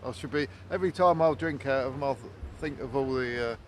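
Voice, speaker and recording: Relaxed man, casual slangy banter. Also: mid-range voice, fast talker. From England. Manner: easygoing, slang-laden. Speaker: friend on the trip. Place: outdoors at an event.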